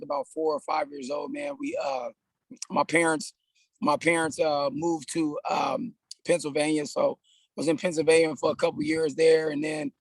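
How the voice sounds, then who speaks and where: A man in his thirties from California, a personal trainer talking about his life as a podcast guest in a relaxed, casual way, often saying "man". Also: on the high side, creaky, no room sound, low-quality recording. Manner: laid-back, frequent "man" and restarts. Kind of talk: casual conversational interview.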